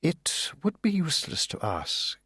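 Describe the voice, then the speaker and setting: A man's voice: fairly high pitch, huge pitch swings, unhurried, bright, forceful tone, slightly rough, no room sound, clean studio sound, voice pushed up, full character voice. A man voicing a proud, scornful society lady in an audiobook, languid and haughty. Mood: bored scorn.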